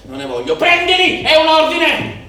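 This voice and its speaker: Domineering police commander, acted by a man: emphatic, commanding stage delivery, raised pitch, distant mic in a very echoey space. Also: very animated, vocal fry, sharp tone, heavily acted. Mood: shouting, furious. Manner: commanding, emphatic, drawn-out repeated orders. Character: domineering police commander barking orders at his men. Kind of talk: theatrical monologue role. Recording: very echoey room, distant mic, some noise.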